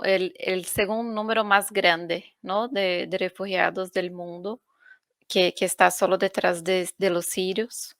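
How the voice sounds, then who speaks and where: A woman from Brazil speaking Spanish as a second language with a Brazilian Portuguese accent, presenting on a video call and often pausing to look for words. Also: mid-range voice, fairly bright tone, smooth, no room sound, studio quality. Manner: unhurried, searching for words, frequent "¿no?". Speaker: UN refugee agency panelist. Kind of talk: online forum presentation.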